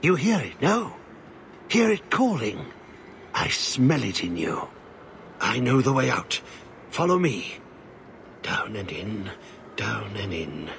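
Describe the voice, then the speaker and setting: An actor playing a crazed old corrupted prison dweller in a fantasy game, muttering in broken, repetitive sentences with a gravelly croak. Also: male voice, fairly low pitch, sing-song, slow, pauses often, fairly bright tone, slight background noise, heavily acted. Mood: eerie, fixated. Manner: broken sentences, muttering, repeating phrases, erratic. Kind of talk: dramatic fantasy game acting.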